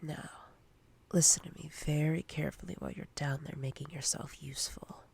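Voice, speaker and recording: A woman playing a domineering, menacing corporate boss in a breathy roleplay ASMR whisper, commanding and condescending in a deliberate way. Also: low-pitched, steady tone, darkish tone, heavily acted. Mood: menacing, controlling.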